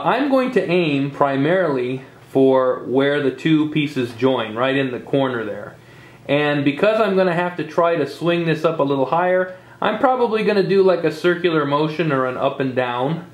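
A welding instructor explains a weld step by step in his workshop and speaks to the viewers at home. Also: on the high side. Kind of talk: on-camera workshop demonstration. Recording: roomy workshop sound.

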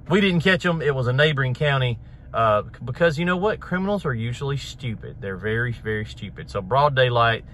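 A man's voice: medium pitch, a bit bright. A man, chatting casually to camera as a vlog host inside a vehicle. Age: 30s. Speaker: vlog host, pressure washing business owner. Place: inside a truck cab, driving then parked. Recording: in-car recording with road noise.

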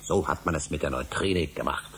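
A man's voice: extremely low pitch, a little fast, a little hiss or hum. An actor playing an obsessive middle-aged male scholar in a German radio drama, speaking emphatically and rhetorically. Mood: urgent. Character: obsessive older male scholar, insistent and indignant.

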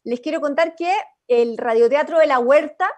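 A young Chilean woman speaks in a high voice as she runs an informal online workshop. She is encouraging and inviting, guiding the group through a video-call microphone. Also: normal speed. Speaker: workshop facilitator. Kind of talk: informal guided workshop talk. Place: indoor room, on a video call. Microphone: video-call computer microphone.

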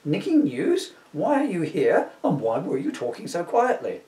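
Modest teen superhero, read by a man, earnest and declamatory, lively high pitch, storybook comedy. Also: moderate pace, roomy sound, distant mic. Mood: questioning.

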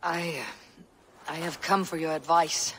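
An actress playing a steady, plain-spoken female Viking warrior in a game cutscene. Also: deep voice, slow, a little hiss or hum. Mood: hesitant.

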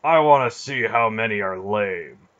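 Man doing a cold slave buyer, harsh, commanding, low voice, lo-fi. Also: expressive, measured pace, fairly bright tone. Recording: dull lo-fi audio.

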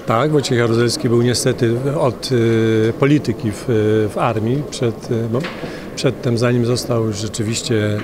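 A man's voice: medium pitch, steady tone. Older male politician, hesitant hall interview, handheld mic, noisy background. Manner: halting, many filler hesitations. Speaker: member of parliament. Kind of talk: street interview answers. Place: large indoor parliament hall.

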